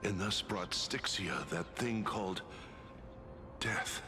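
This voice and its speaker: An actor playing a courteous, weary military officer of an ancient kingdom in a video game, speaking formally and hesitantly. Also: male voice, on the low side, slow, background noise. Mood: grave, weary. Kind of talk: video game story dialogue.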